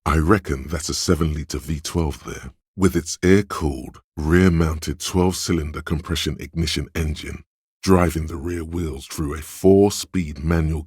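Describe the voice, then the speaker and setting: Super deep husky inner voice for a video game, slow deliberate phrasing, real dry sound. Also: male voice, darkish tone, no room sound, full character voice. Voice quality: gravelly, husky. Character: deep, measured male inner-voice narrator, quietly intent. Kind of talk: scripted second-person game narration.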